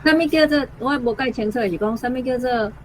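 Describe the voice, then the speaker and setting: A woman's voice: a little high, quick pace, a little hiss or hum, low-quality recording. A woman teacher with a Taiwanese accent explaining word usage conversationally, often checking with tag questions. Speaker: language teacher.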